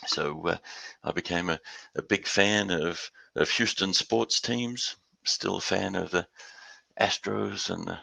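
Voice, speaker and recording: An older man with an Australian accent giving a webinar presentation, halting and repeating words as he goes. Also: low-pitched, vocal fry, sharp tone, dry recording. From Western Australia. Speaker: guest speaker.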